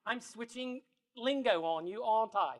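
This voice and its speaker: Big-voiced man leading a lively evening. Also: high voice, normal speed. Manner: playful, laughs often, builds energy. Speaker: spiritual workshop presenter. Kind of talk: lively talk to a live audience. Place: bookstore event room.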